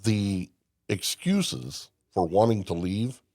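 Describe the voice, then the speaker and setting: Older man from Indiana, low gravelly voice, folksy storyteller, dry close mic. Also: sing-song, takes it slowly, mellow, no echo. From Indiana, USA. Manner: folksy storyteller, emphatic, with restarts. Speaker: podcast guest. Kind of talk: casual conversation.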